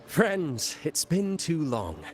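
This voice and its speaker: An actor playing an urbane, enigmatic playwright in a video game, speaking with theatrical eloquence and a teasing, ominous edge. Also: male voice, fairly high pitch, wildly swooping pitch, slow, a little hiss or hum, heavily acted. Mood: amiable, sly. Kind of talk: scripted video game dialogue.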